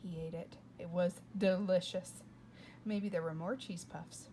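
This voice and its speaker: A woman reading a children's book aloud with expressive, playful and dramatic narration. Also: lowish voice, measured pace, slight background noise. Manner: dramatic, playful delivery with whispers and asides. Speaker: read-aloud storyteller.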